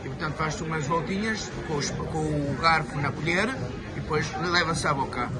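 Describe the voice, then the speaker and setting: A man's voice: fairly high pitch, normal speed. A man in his forties with a European Portuguese accent presenting etiquette tips to camera in a casual, didactic, emphatic way. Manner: didactic, emphatic with repeated words. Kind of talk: casual instructional monologue to camera. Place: open restaurant terrace by a beach. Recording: loud music and busy crowd noise behind.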